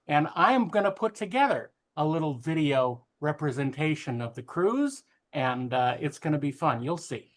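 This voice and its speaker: A man co-hosting a podcast, chatting casually in a matter-of-fact, halting way, often correcting the others. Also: on the high side, big pitch swings. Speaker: podcast co-host. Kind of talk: casual panel banter.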